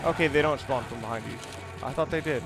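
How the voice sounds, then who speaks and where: A man's voice: mid-range voice, quick pace, heavy background noise. A man giving casual let's play commentary, rambling and thinking aloud as he explains what he is doing. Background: game music and sounds.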